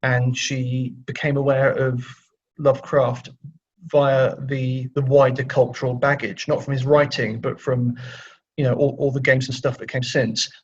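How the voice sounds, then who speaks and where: Husky-voiced man, slightly muffled recording. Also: mid-range voice, level tone, a little slow. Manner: chatty, thinking aloud with frequent self-corrections. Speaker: interview guest, audio drama writer. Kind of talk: casual conversational interview answers.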